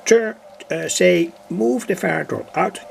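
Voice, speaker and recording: A man with a Dutch accent and a creaky, gravelly voice, giving a casual, halting, unscripted demonstration as an electronics hobbyist. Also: high voice, background noise. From Netherlands. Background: shortwave radio playing stations.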